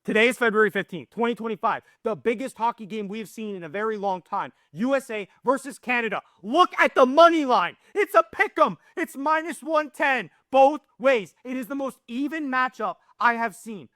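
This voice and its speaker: Hoarse high-voiced man hyping hockey. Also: normal speed, hardly any pauses, no room sound, studio quality. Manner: excited, emphatic. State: hoarse, losing his voice. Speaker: hockey channel host. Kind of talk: casual hockey commentary.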